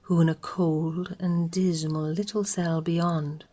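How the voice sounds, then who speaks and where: An Irish woman narrating a radio play in a slow, confiding storytelling way. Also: fairly low pitch, little pitch movement, warm tone.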